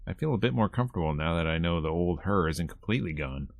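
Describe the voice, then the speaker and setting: A man's voice: low-pitched, expressive, quick pace, vocal fry, no room sound. A man streaming a visual novel, reading its text aloud and breaking off into casual, wry asides. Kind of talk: reading game dialogue aloud with casual commentary.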